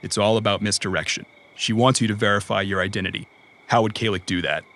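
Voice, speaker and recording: Low, terse cyberpunk runner. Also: male voice, steady tone, fast talker, a bit bright. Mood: practical. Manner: terse, low-key, plainspoken. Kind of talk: audio drama acting.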